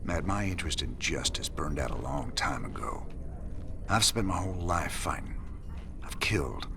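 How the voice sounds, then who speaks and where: A weary rebel commander. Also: male voice, animated, normal speed, vocal fry, background noise, exaggerated voice. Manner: low, world-weary drawl. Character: weary male rebel commander, tough and brooding. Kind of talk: video game dialogue.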